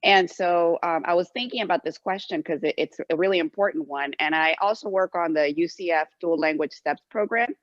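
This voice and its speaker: Low-voiced woman on dull dry call audio. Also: fairly quick, few pauses, dry recording. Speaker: university educational leadership staff. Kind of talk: answering in a video-call Q&A. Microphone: video call.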